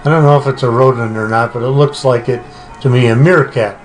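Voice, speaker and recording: A man's voice: medium pitch, steady tone, a little fast, soft background music. An older man giving short, casual answers as a podcast guest. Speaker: podcast guest, the hosts' father.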